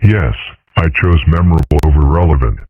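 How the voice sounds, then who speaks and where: A man voicing a calm, philosophical fox father in a comic dub, measured, wordy and dryly witty. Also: extremely low pitch, slightly rough, no room sound, exaggerated voice. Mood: dry, musing. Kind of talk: amateur comic strip dub. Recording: muffled, phone-like lo-fi audio.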